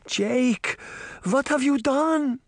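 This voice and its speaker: A wise old storybook grandmother, as a male narrator puts her on, speaks high and earnest. She sounds anxious and lamenting, with lifted, swooping intonation in a dry recording. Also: big pitch swings, measured pace, no room sound. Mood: distressed.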